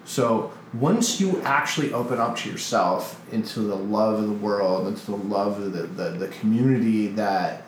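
A man's voice: fairly low pitch, a little hiss or hum. Open-hearted man in an echoey room. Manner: open, emotional, halting with restarts. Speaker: podcast host. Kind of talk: casual podcast conversation.

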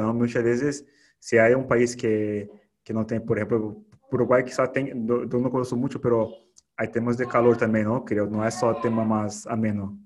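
A man hosting a podcast interview in casual chat, slipping words into his Spanish with many restarts. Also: medium pitch. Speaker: podcast host.

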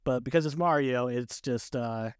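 A man's voice: mid-range voice, normal speed, smooth, no echo, studio quality. A man co-hosting a casual chat about films, often chuckling as he talks. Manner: chuckles often while talking. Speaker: podcast co-host.

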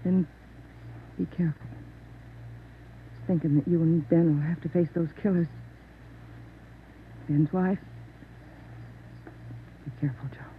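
A woman playing a brave, grieving policeman's widow, restrained and halting, in a radio drama. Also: low voice, steady tone. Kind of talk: 1940s-50s radio drama acting. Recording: muffled old radio, faint noise.

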